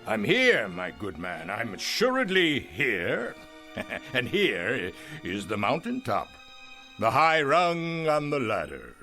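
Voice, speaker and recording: Gloating, theatrical old tycoon, bright forceful voice. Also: male voice, medium pitch, huge pitch swings, slow, soft background music, full character voice. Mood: drunken, boastful. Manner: theatrical, gloating, savouring his words. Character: gloating, ruthless rich old businessman, boastful and lonely. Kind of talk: dramatic radio drama acting.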